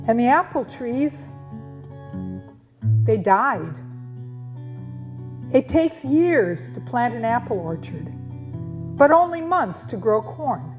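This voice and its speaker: A woman narrates a scripted puppet show. Her voice sits a little high and moves slowly, with deliberate dramatic pauses. The sound is muffled, with soft guitar underneath. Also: sing-song. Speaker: storyteller.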